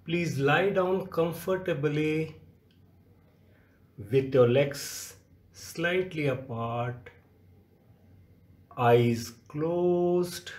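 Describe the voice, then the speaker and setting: An echoey recording of a man guiding a yoga nidra relaxation practice. His voice is fairly high for a man and very mellow. He moves extremely slowly, giving short, measured instructions with long gaps between them. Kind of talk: guided relaxation meditation. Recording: echoey, roomy sound.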